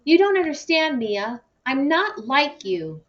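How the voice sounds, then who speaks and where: A woman's voice: sits high, sing-song, a little slow, pitched above the performer's own voice. A woman voicing a frustrated, emotional schoolboy in a children's novel read aloud. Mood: exploding, upset. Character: frustrated, emotional schoolboy under parental pressure. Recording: distant, muffled microphone.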